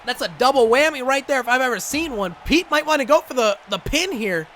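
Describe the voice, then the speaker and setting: A young man doing excitable play-by-play commentary over a wrestling video game, coughing and saying he is losing his voice. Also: extremely high pitch, brisk, slight background noise. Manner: excitable, rising to shouts at big moments. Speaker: gaming channel host and commentator.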